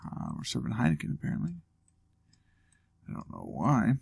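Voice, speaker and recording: A man talks through a guessing game, half to himself, musing and joking as he goes. His voice is gravelly and his pitch rises and falls a lot, with a casual, chatty let's-play delivery. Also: wildly swooping pitch, moderate pace, darkish tone. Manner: thinking aloud, musing and joking. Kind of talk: casual gameplay commentary.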